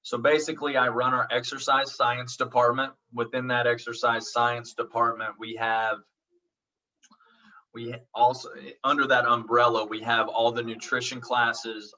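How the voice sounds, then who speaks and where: A man's voice: mid-range voice, flat delivery, moderate pace, pushed and bright. A man in his thirties with an Oklahoma accent, a college instructor introducing his online course in a reassuring, casual way, full of ums and "okay?" check-ins. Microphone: video call. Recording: dull lo-fi audio from a distance.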